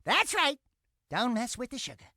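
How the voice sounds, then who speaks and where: A man's voice: wildly swooping pitch, moderate pace, sharp tone, no echo, clean studio sound, exaggerated voice. An actor voicing a small, wisecracking furry male animal sidekick in a video game, brash, sarcastic and theatrical. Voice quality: squeaky, brash. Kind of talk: cartoonish video game acting.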